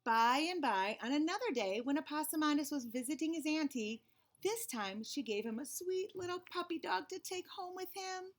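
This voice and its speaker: A woman reading a picture book aloud to children, expressive, with chatty asides and questions to the listeners. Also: sits high, normal speed. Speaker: read-aloud storyteller for children.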